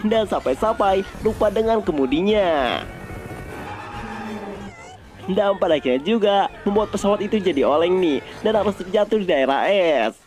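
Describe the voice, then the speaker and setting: A man's voice: high voice, animated, normal speed, darkish tone, faint music behind. A man with an Indonesian accent narrating a story recap in an informal, scripted style.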